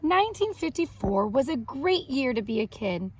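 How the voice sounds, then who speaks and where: A woman reading a picture book aloud to young children, expressive, pausing to explain words simply. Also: sits high, a little hiss or hum. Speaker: children's read-aloud host and art teacher.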